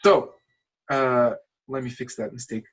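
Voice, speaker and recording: A man from Lithuania speaks English as a second language in a husky voice. He talks at a middle pitch over a video call. He coaches in a rambling, passionate way and restarts his sentences often. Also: fairly even intonation, measured pace, fairly bright tone. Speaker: channel coach. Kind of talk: casual screen-share coaching call.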